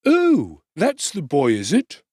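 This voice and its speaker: A fawning middle-aged undertaker speaks in a raised, deferential voice, as a man acts him out. His pitch swings widely while the tone stays husky. It is a storybook audiobook. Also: wildly swooping pitch, slow pace, warm tone, dry recording, studio quality, full character voice. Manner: deferential, ingratiating.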